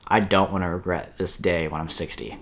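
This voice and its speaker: A man's voice: deep voice, steady tone, fairly quick, fairly bright tone. A man in his early twenties with an American accent, co-hosting a podcast in a casual, informal conversational style. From Kansas, United States. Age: early 20s. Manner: informal, uses "like" often. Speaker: podcast co-host.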